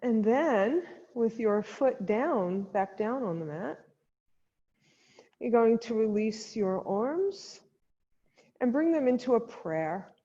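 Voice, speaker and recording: A woman leading a guided chair yoga class as the instructor, giving gentle step-by-step cues with pauses, in a muffled, reverberant recording. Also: fairly high pitch, animated. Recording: dull sound in a long-reverb hall.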